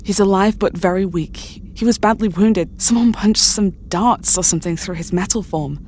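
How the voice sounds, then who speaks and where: A woman acting a proud, sharp-tongued young telepath in an audio drama, speaking in a curt, assertive way. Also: medium pitch, normal speed, a little hiss or hum, natural voice. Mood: focused.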